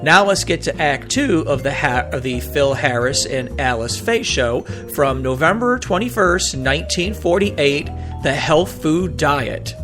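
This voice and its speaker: A man's voice: a little high, expressive, unhurried. A man hosting a radio show, giving casual, chatty, folksy introductions. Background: music bed.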